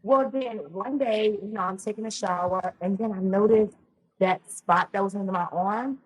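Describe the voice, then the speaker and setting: Husky-voiced woman telling stories. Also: mid-range voice, moderate pace, low-quality recording. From Louisiana, US. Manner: chatty, animated storyteller with many ums and restarts. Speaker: podcast guest. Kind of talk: casual storytelling interview.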